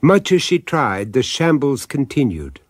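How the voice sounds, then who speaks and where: An actor narrating a supernatural radio drama as a grave storyteller, with measured, suspenseful phrasing. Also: male voice, mid-range voice, very animated, no room sound, clean studio sound. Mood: ominous.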